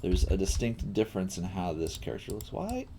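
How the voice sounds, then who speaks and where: Low, mellow-voiced man teaching coding. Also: wildly swooping pitch, slight background noise. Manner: halting, thinking aloud while working. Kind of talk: casual live coding tutorial.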